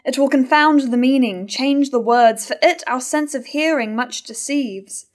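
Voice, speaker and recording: A woman's voice: sits high, a little slow. A young woman playing the moralising chorus of a classical tragedy, reciting formal verse. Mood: grave, admonishing. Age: young adult. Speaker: actor in a staged reading. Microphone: stand mic at lectern.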